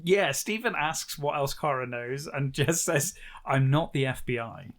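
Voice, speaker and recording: A man's voice: mid-range voice, animated, measured pace, fairly bright tone. A British man co-hosting a podcast, recapping a book in a casual, wry and sarcastic way. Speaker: podcast co-host.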